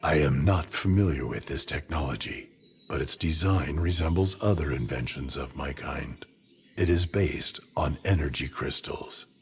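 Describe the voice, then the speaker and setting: An actor playing an earnest, resolute ancient non-human male being in a fantasy game, speaking formally in gravelly measured phrases. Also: a bass voice, a little hiss or hum. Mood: earnest, thoughtful. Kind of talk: fantasy game cutscene acting.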